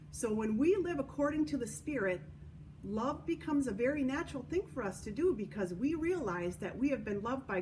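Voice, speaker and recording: High-voiced woman telling stories, distant noisy recording. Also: a little mellow. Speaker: church children's story time host. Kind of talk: children's story reading and devotional talk. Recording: distant microphone, noticeable background noise.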